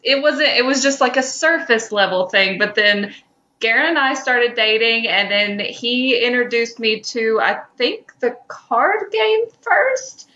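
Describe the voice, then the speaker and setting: Slightly muffled recording, woman podcaster chatting casually, bright highish voice, takes her time. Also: animated, a little slow. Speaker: podcast co-host. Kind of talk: casual conversational banter.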